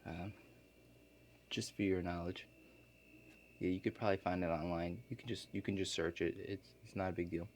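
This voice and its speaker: A man playing a laid-back construction contractor in an ASMR role play, explaining things to a client in a halting, matter-of-fact way. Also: low voice, steady tone, a little mellow, slight background noise, close to an everyday voice. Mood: casual, unhurried.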